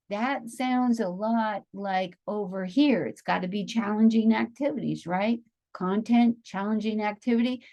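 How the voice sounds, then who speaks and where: Woman lecturer for teacher trainees, slow and deliberate, pauses to think, keeps checking her students follow. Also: a little high, mellow. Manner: unhurried, checks in often with "okay?" and "right?"; thinks aloud with many "um" pauses. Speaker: teacher educator. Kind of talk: classroom lecture explaining a chart.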